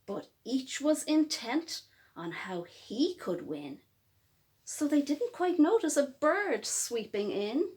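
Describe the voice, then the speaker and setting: Female children's storyteller: high voice, unhurried pace, dramatic emphasis on rhyming verse. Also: big pitch swings, a little mellow. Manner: dramatic, emphatic, with drawn-out words and pauses. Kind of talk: expressive picture-book storytelling in rhyme.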